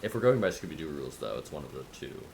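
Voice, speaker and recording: Very deep-voiced man streaming a game. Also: big pitch swings, rapid-fire. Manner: offhand, musing asides. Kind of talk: casual live playthrough commentary. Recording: live stream with clear game noise. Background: game audio.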